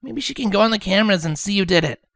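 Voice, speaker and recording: A man puts on a hot-headed teenage tomboy who barks her words, loud and pushy. His voice is pushed high into a girlish register, recorded dry and close. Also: animated, fast talker, gravelly, no echo, full character voice. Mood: urging. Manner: barking, loud. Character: brash, hot-headed sporty teen girl.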